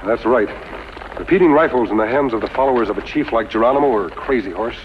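A man's voice: mid-range voice, fast talker, lightly acted. An actor playing an earnest, righteous masked lawman in a 1950s radio western, speaking precisely and declaiming. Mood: earnest, resolute. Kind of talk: 1950s radio drama acting. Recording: muffled, hissy old radio recording.

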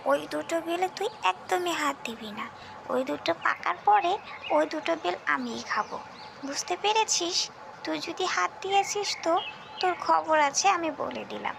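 A quarrelsome little girl from a cartoon village tale, acted by a woman. The voice is extremely high with a creaky edge, whiny and insistent. Clear noise sits behind it. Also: exaggerated voice. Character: bossy, squabbling little village girl sisters. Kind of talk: cartoon folk-tale voice acting.

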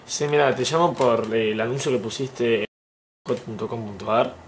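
A young man with an Argentine accent speaking casually and haltingly, as if on a prank phone call. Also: medium pitch, a little hiss or hum. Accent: Argentine Spanish. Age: young adult. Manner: hesitant, halting, polite. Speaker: prank caller, YouTube host. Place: small indoor room at home.

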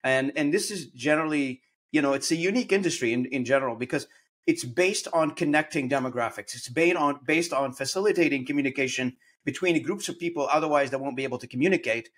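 A man at a middle pitch hosts an interview, talking in long, rambling stretches. He often restarts his phrases and reaches for words as he goes. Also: fast talker. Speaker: podcast host. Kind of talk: conversational interview.

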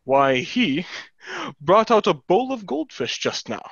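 A man's voice: sits high, very animated, slow pace, a bit bright, slightly rough, dry recording, heavily acted. A young man in a play reading, acting a hot-headed, proud, indignant young businessman, recorded on a headset. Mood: scornful. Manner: brash, indignant. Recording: dull headset video-call audio.

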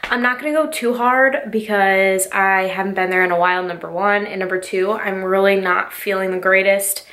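A woman's voice: medium pitch, steady tone, normal speed, fairly bright tone. A young woman in her twenties vlogging casually to camera from her bedroom, a bit nasal from a cold, rambling with lots of fillers. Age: 20s. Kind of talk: casual vlog chat to camera. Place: small bedroom, indoors. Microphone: phone or camera at arm's length.

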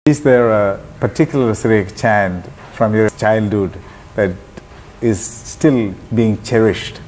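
A man's voice: lowish voice, slow. A man with a Malayali English accent interviewing a guest, encouraging him with short affirmations and questions. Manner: warmly encouraging, frequent brief "yes" replies. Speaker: interviewer, priest. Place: bright indoor sitting room.

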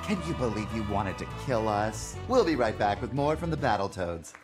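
An actor voicing a smug, bossy alien overlord in an animated comedy, pompous yet chatty and nagging. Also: mid-range voice, moderate pace, over music, a crowd in the background, natural voice.

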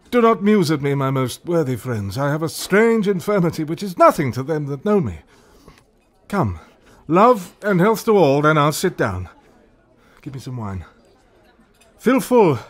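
A man plays a guilt-ridden king in a Shakespearean radio play. His fairly high voice swings widely in pitch. He declaims theatrically, veering between outcry and brooding. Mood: forced cheer. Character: guilt-haunted, volatile Scottish king raving at a ghost. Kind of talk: classical Shakespearean radio drama acting.

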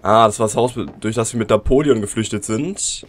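A man's voice: fairly low pitch, brisk. A man with a cold and a sore throat, casually commenting on his gameplay and talking straight to his viewers from his computer. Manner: chatty, addresses viewers directly. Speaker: let's play commentator.

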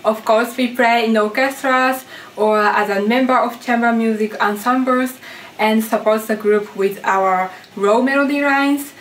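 A woman who plays double bass, explaining the roles her instrument takes. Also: steady tone, unhurried, slight background noise. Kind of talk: talking about her instrument. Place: room at home.

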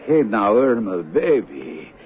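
An actor playing a placating, uneducated working-class American boyfriend in a 1970s radio drama, coaxing and colloquial. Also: male voice, lowish voice, sing-song, takes it slowly, heavily acted. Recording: muffled, noisy vintage radio broadcast.

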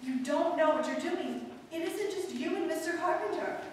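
A woman's voice: extremely high pitch, expressive, normal speed. A woman playing an anxious, pleading woman in an old-time radio drama, urgent, hesitant and earnest. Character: anxious, pleading woman confiding in and defending a spaceman. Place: live stage in a hall, distant audience camera. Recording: echoey hall stage from far off, noisy.

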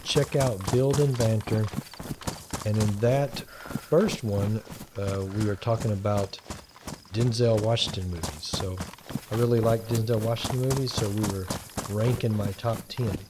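Man, Texan accent, lowish voice, casual gameplay chatter, clear game noise behind. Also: measured pace. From Texas. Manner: relaxed, rambling, thinks aloud while playing. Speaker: gaming channel host.

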